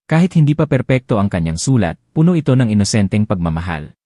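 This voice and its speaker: Low, mellow male storyteller, expressive. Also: quick pace, no echo, studio quality. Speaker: audiobook narrator. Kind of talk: scripted storybook narration.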